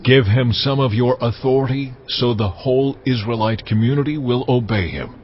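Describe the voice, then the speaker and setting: An actor playing God as a solemn, measured lawgiver giving commands in a dramatized audio Bible. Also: male voice, mid-range voice, lightly acted. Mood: solemn commanding. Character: God, authoritative male Lord giving commands. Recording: dull audio, faint background noise.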